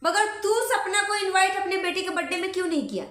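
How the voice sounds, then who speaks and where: A woman's voice: very high-pitched, quick pace, fairly bright tone. A woman vlogger delivering an impassioned, indignant rant straight to the listener, scolding and repeating phrases for emphasis. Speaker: vlogger commenting on a social media dispute. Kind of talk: impassioned direct-address rant. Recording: echoey room.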